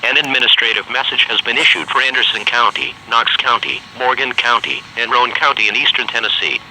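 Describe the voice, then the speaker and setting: Male announcer, very sharp bright tone over faint noise, formally reading scripted emergency notices. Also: medium pitch, fairly even intonation, a little fast, gravelly, poor audio.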